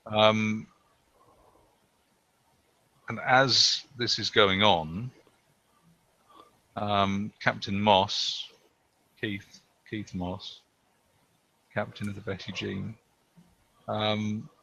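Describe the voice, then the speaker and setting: Casting: gravelly male game master, bright tone, dull dry recording. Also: lowish voice, fairly even intonation. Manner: halting, many fillers and restarts. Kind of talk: narrating a tabletop game.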